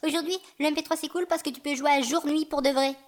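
Woman acting a high, playful jingle character. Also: fairly even intonation, fast talker, noisy background, natural voice. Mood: playful, excited.

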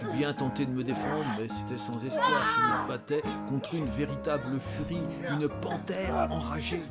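A man's voice: on the high side, huge pitch swings, moderate pace. An actor playing an exasperated, guilt-ridden man who tells his story straight to the listener in a confiding, theatrical way, in a radio play. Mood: dramatic. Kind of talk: first-person storytelling in a French radio play. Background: music.

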